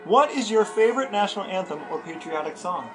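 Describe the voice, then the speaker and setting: A male interviewer poses scripted questions. His voice is high for a man, and music plays clearly behind him. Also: animated, fairly quick.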